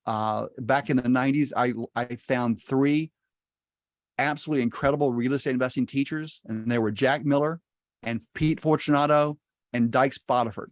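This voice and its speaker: A man's voice: mid-range voice, steady tone, normal speed, no room sound. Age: middle-aged. Sex: man. Native: Spanish. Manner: folksy, upbeat. Mic: dry video call. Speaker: real estate investing teacher. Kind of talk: casual talk over video call.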